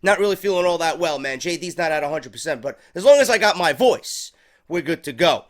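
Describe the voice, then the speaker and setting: A man's voice: fairly high pitch, lively intonation, fairly quick. A man with a stuffy head cold hosting a casual wrestling review show, rambling and swearing freely. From New York. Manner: profane, rambling, unscripted.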